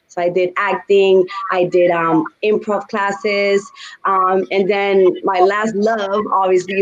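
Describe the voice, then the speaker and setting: A young woman from the Dominican Republic, chatty and animated, talking as a guest on a casual video-call interview from her home studio. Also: mid-range voice, highs cut off. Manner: animated, gestures with her hands while talking; chatty, laughs between stories. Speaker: guest singer.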